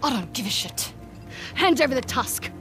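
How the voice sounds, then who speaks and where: A tough woman mercenary leader in a game cutscene. Her voice sits fairly high, with curt, scornful delivery. Music plays clearly behind her. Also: very animated, normal speed, fairly bright tone. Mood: hard, threatening. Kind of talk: cinematic video game acting.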